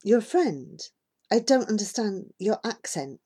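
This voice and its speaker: A woman narrator puts on a country boy from an audiobook adventure. He is a wary young goatherd, quick to challenge strangers. His manner is suspicious. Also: mid-range voice, big pitch swings, warm tone, husky, heavily acted. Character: wary country boy goatherd, a little older than the hero.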